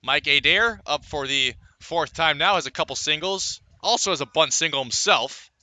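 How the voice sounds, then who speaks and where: Male baseball announcer, very bright forceful voice, slightly high pitch, live radio commentary, measured descriptive calls.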